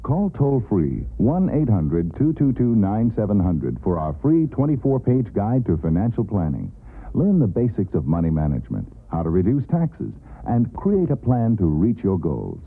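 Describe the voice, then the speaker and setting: A man's voice: lowish voice, wildly swooping pitch, moderate pace, breathy edge. An American man announcing a scripted radio advertisement. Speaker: commercial announcer. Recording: thin narrowband AM radio recording.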